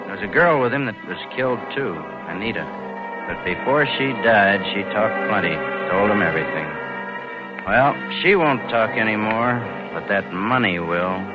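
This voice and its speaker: A male actor plays a smooth-tongued American con man from a 1940s radio thriller. His pitch swings animatedly as he turns cynical and glib. The recording is dull and old, with music behind it. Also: lightly acted. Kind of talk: 1940s radio crime drama acting and narration.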